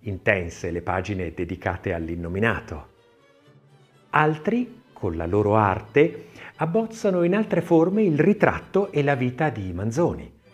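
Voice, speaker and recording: A man reading a scripted literary text as an audiobook narrator, expressive and measured, with deliberate phrasing. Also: fairly low pitch, soft background music. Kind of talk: scripted audiobook narration of an art-history essay, with recited verse.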